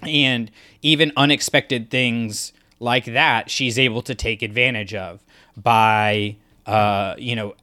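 Bright, emphatic male podcast co-host. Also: medium pitch, steady tone, takes it slowly, clean tone. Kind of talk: podcast discussion, fan analysis.

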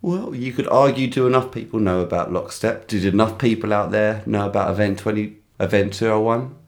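A man's voice: fairly low pitch, fairly even intonation, a little fast. A man co-hosting a casual podcast, trading dry, sarcastic quips. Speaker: podcast co-host. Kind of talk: casual banter.

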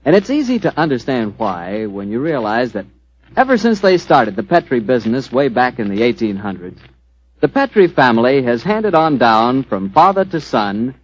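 An American man announces in 1940s radio style. His pitch moves up and down freely as he chats and sells in a scripted, friendly way. The recording is dull and lo-fi.

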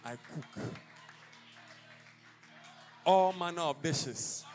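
A man's voice: fairly high pitch, very slow, darkish tone, faint music behind. A man with a Ghanaian accent, an apostle preaching a sermon in a church service, declaiming in rising bursts and dropping into casual asides.

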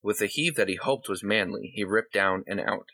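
A man's voice: lowish voice, normal speed, pushed and bright. A man reading a short story aloud as scripted narration. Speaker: story reader.

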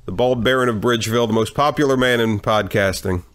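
A man's voice: medium pitch, quick pace, fairly bright tone. Congested man hosting a movie podcast. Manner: wry, joking introductions. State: congested from a cold. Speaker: podcast host. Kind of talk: casual chat.

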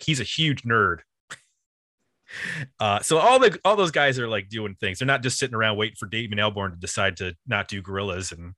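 A man's voice: lowish voice, sharp tone, dry recording, studio quality. A man hosting a music podcast, casually explaining the history of an album in an informal, loosely structured way.

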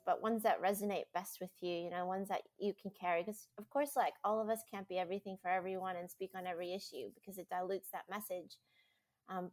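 Woman, podcast guest, warm rambling chatter with frequent laughs. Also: medium pitch. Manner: laughs often, rambling and enthusiastic. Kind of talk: casual podcast chat.

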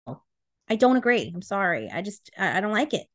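A woman is chatting casually as a podcast co-host. She speaks bluntly and with opinion, in an everyday voice at a middle pitch, and often slips into retelling what people said. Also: very animated, fairly quick, a bit bright. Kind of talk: casual chat.

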